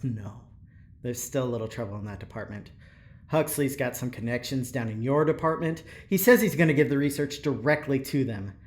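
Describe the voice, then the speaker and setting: An actor playing a tired but eager, easygoing young male researcher in an audio drama, chatty, expressive and a little hesitant. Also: medium pitch, fairly quick, natural voice. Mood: confident. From New Jersey. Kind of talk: naturalistic audio drama acting.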